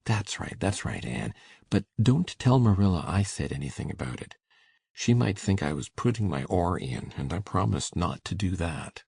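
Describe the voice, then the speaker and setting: A woman reading aloud, voicing a shy, awkward older farmer in a low, folksy, coaxing way. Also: animated, moderate pace, gravelly, dark tone, slightly rough, no room sound, full character voice. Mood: gentle.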